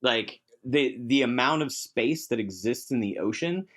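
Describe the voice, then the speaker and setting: A man's voice: medium pitch, expressive, a little slow, fairly bright tone. A man talking casually and enthusiastically as a podcast guest, with emphatic phrasing, in a discussion of tabletop game monsters.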